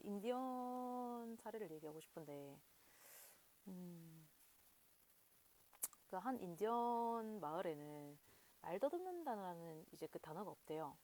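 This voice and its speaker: A woman's voice: medium pitch, rough recording. A woman talking casually to camera in a Korean regional dialect, rambling with many fillers and frequent laughs. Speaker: video host.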